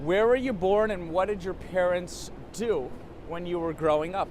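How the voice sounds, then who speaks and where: Chatty host, a man with a high voice, upbeat, talking outdoors with light traffic behind. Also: normal speed, a little mellow. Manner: upbeat, chatty, self-correcting. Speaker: show host, interviewer. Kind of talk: casual interview. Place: outdoors in a city, in a vehicle.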